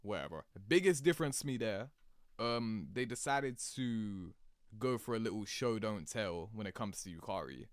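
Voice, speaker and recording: A man giving casual, expressive reaction commentary in informal slang as a video host. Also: fairly low pitch, normal speed, no room sound, studio quality.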